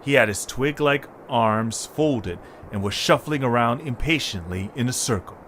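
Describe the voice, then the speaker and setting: A man works as narrator for a scripted audio drama. His mid-pitched voice moves in lively, wide swings, recorded with faint background noise. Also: moderate pace.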